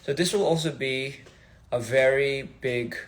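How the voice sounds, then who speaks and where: A young man from Australia, a musician chatting casually on a phone livestream in a halting, rambling, soft-spoken way. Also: mid-range voice. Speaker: musician streaming live. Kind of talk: casual live-stream chat.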